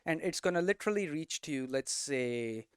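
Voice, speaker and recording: A man with a smooth, clear voice pitched slightly high gives an informal explainer. He thinks aloud in a conversational way, tagging his points with little check-ins, and the sound is close and dry. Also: lively intonation, normal speed, dry recording. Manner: conversational, thinking aloud, frequent "you know" and "right?" tags. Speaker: game development streamer and teacher.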